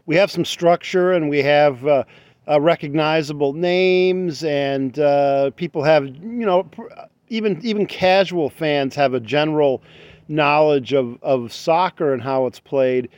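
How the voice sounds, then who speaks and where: Male host interviewer, halting questions, dry muffled recording. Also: a little high, a little mellow, dry recording. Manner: halting questions with many fillers.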